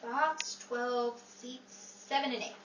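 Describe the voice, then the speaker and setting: A woman's voice: a little high, fairly even intonation, slow pace, fairly bright tone. A woman playing a wry, long-suffering wife and best friend in a 1940s-style radio comedy. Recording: echoey, distant mic, noisy crowd behind.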